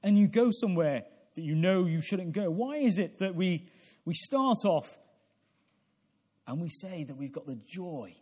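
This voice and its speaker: Mellow-voiced male preacher in his forties. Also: fairly high pitch. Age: 40s. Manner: deliberate, halting delivery with repeated phrases and rhetorical questions. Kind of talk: evangelical sermon with prayer.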